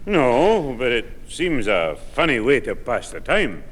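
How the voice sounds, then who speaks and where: A man's voice: mid-range voice, sing-song, unhurried, fairly bright tone. A man playing an indignant old grandfather in a 1960 radio sitcom. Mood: puzzled. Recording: echoing 1960 radio recording.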